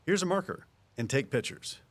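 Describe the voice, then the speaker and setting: An actor playing an easygoing small-town conservation officer in an audio drama, explaining things in a folksy, patient way. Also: male voice, mid-range voice, very animated, moderate pace. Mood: measured, puzzled.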